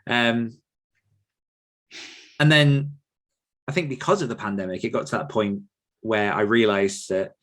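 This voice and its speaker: A man's voice: on the low side, unhurried, long pauses, a bit bright. A man speaking as a podcast guest, giving reflective, explanatory answers in a conversational interview style. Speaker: podcast guest, author and writing coach.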